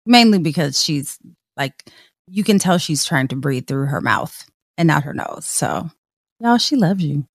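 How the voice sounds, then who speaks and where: A woman's voice: deep voice, expressive, measured pace, dry recording, studio quality. A woman co-hosting a casual podcast chat who asks lots of curious questions. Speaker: podcast co-host.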